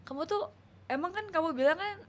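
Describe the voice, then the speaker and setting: A woman, hosting a casual podcast chat with a guest, curious and quick to laugh. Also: extremely high pitch, a little hiss or hum. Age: 20s. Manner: chatty, curious, laughs often. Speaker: host.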